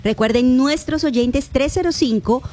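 A woman's voice: high-pitched, unhurried, darkish tone. A woman co-hosting a live morning radio call-in show, affectionate and encouraging as she chats with listeners.

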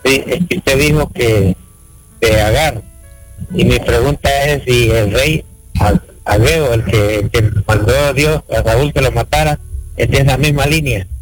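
A man from Honduras calling into a radio show, asking a question in a halting way. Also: mid-range voice, no room sound, soft background music, poor audio. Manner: halting, repeats words. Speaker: caller.

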